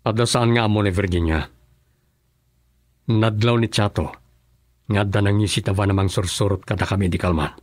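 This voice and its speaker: An actor playing a serious, worried provincial governor in a radio drama, with an Ilocano Filipino accent. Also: male voice, on the low side, steady tone, normal speed, pauses often, no room sound, natural voice. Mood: concerned.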